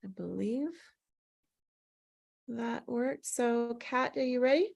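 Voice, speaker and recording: A woman's voice: fairly high pitch, takes it slowly, gravelly, a little mellow, muffled recording. A woman hosting an online table read in casual, slightly scattered chat over a video call.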